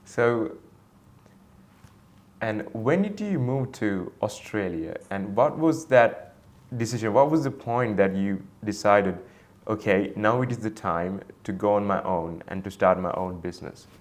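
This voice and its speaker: A man hosting an interview, asking questions in slow, formal phrasing. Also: lowish voice, lively intonation.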